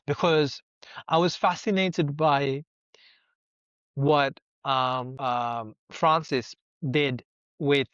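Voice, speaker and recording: A man's voice: fairly high pitch, steady tone, very slow, fairly bright tone, no room sound. A young man from Sri Lanka whose first language is Sinhala, speaking as an interview guest in an earnest, reflective way and thinking aloud with rhetorical questions. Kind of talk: conversational interview. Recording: dull, dry lo-fi sound.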